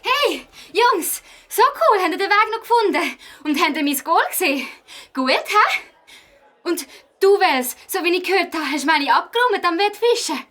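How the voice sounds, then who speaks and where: A woman's voice: extremely high pitch, sing-song, moderate pace, pushed and bright, full character voice. A woman playing a bubbly, confident young sporty girl in a children's audio drama, chirpy and chummy, with a Swiss accent. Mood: cheerful, proud.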